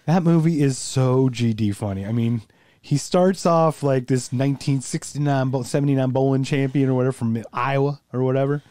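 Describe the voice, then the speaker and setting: A man's voice: mid-range voice, brisk, mellow, no room sound. Crude, rambling male podcast co-host. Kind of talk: casual chat.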